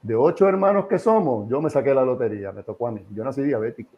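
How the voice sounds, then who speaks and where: A muffled, lo-fi recording of a mature Puerto Rican man giving his opinions in an interview. He lectures informally and emphatically, repeating key phrases and posing rhetorical questions in a medium-pitched voice. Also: quick pace, slight background noise. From Puerto Rico. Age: middle-aged or older. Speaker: interview guest, association president.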